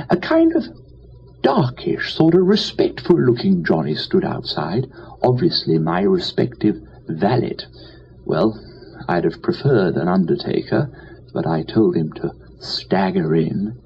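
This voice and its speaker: A man playing a foppish, cheerful, dim young English gentleman, telling a comic story in chatty old-fashioned upper-class slang. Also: fairly low pitch, full character voice. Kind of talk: dramatised first-person comic storytelling. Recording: dull lo-fi with faint noise.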